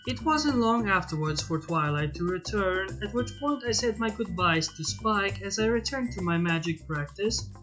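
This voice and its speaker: A fan fiction reader narrates scripted story prose as the host of the reading. The voice is middle in pitch, and music plays clearly underneath it. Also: animated, normal speed, few pauses, fairly bright tone, rough recording.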